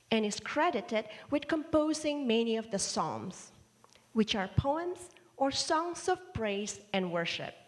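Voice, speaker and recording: A woman who grew up in Indonesia preaches a sermon at a very unhurried pace, her voice sitting a little high. She tells stories with playful asides. The sound rings with the long reverb of a church hall livestream. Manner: measured, warm storytelling with playful asides.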